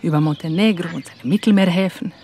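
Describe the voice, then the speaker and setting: An actress playing a guarded, proud woman in a radio crime drama, speaking in a measured, confiding way. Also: lowish voice, dark tone, lightly acted. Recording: faint outdoor background noise. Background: birdsong outdoors.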